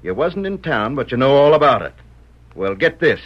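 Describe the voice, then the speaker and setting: An actor playing a gruff, suspicious Old West sheriff in a radio western, with a folksy cowboy drawl and blustery manner. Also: male voice, medium pitch, sing-song, normal speed. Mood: accusing. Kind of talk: vintage radio western acting. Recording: muffled old radio recording.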